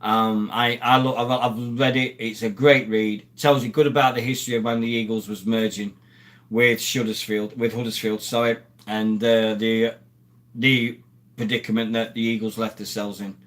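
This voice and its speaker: A man's voice: lowish voice, little pitch movement, normal speed. A man from Sheffield, a former rugby league player hosting a rambling, casual live stream and greeting viewers from the chat. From Sheffield, England.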